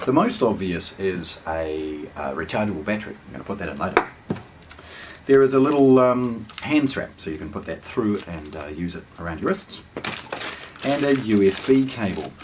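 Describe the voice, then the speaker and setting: A man giving a casual hands-on product unboxing commentary, explaining things with hesitant pauses between phrases. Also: sits low, expressive, background noise. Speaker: product presenter.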